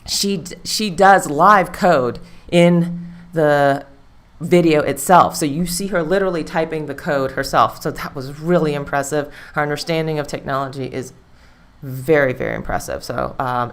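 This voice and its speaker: A woman hosts a live stream in a loose, chatty way. Her voice sits low for a woman. She rambles and circles back with fillers as she talks. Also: a bit bright. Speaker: livestream host. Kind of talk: casual live-stream chat.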